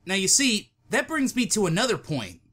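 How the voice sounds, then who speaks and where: A man's voice: high-pitched, big pitch swings, normal speed, pushed and bright, breathy edge, heavily acted. A man playing a timid, polite young fellow who talks in a hesitant, wordy, formal way for a comic dub. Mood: nervous.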